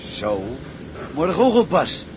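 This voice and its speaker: An actor playing a cheeky, salty sailor in a radio drama. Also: male voice, a little high, huge pitch swings, slow pace, noisy background, full character voice. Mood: cheeky, mocking.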